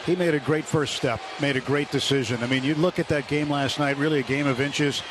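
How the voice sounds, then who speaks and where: A man chatting in a relaxed, easygoing way as a baseball radio announcer in the booth. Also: fairly high pitch, fairly quick, background noise. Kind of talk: casual booth banter.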